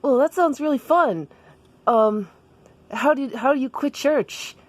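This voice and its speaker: Woman acting out a timid boy, raised pitch, earnest, hesitant, wide-eyed, comic storytelling. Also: measured pace, a little mellow, no echo. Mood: awkward, curious. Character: timid, earnest, church-bound boy, school age.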